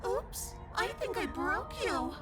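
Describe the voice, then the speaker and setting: An eerie, desperate princess from a horror game. Her very high voice swoops dramatically and drags out each word with deliberate menace. Music sits clearly behind her. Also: very animated, heavily acted. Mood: eerily calm.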